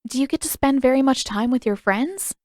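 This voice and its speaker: A woman's voice: high-pitched, brisk, a little mellow, dry recording, clean studio sound, pitched above the performer's own voice, natural voice. A woman voicing an earnest, eager teenage girl in a fantasy audiobook, with a frank and informal manner. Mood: friendly.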